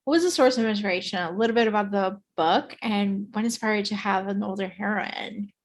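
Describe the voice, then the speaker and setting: A woman's voice: mid-range voice, a little fast. A woman in her forties hosting an interview, enthusiastic and casual, with many fillers and laughs. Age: 40s. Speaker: podcast host.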